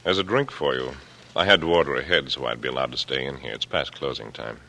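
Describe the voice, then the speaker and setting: An actor playing a smug, calculating middle-aged killer in an old American radio drama, speaking in a taunting, deliberate, condescending way. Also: male voice, very deep voice, creaky, bright, forceful tone, exaggerated voice. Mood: smooth, guarded. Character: coolly menacing older killer, smug, patronizing and calculating. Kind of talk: 1940s radio drama acting. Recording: noisy vintage radio broadcast recording.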